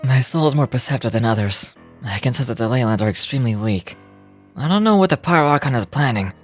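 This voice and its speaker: A man voicing a perceptive, doubting young tribesman in a video game, speaking in a grave, measured and earnest way. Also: medium pitch, expressive, soft background music, natural voice. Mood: earnest, uneasy. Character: perceptive, doubting young tribesman turned reluctant fugitive ally. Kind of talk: scripted video game dialogue.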